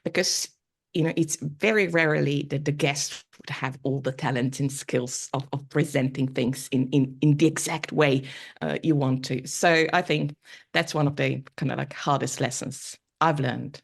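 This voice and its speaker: A woman speaking casually as an academic podcast guest, pausing often mid-sentence. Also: very deep voice, fairly even intonation, vocal fry, husky. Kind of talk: casual chat.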